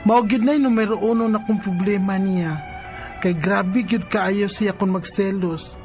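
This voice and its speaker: A woman's voice: mid-range voice, steady tone, measured pace, a little mellow, faint music behind, natural voice. An actress playing a troubled mother of three in her thirties, with a Bisaya Philippine accent, in a radio drama. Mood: sorrowful narrating. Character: troubled 33-year-old mother of three, hurt by jealous partner.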